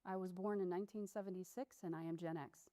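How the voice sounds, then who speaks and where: A woman in her forties, a guest on a debate show, giving her opinion in a measured, slightly halting way. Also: fairly low pitch, steady tone, mellow. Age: 40s. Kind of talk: unscripted opinion talk.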